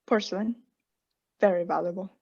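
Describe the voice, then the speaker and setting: A young woman playing a guilty, unhinged caretaker in a radio play, breathy and often whispering, with halting, insistent self-justification. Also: lowish voice, dark tone, muffled recording, a little hiss or hum. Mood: nervous politeness. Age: young adult. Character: guilty, unhinged caretaker, anxiously feigning calm politeness. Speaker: student actor.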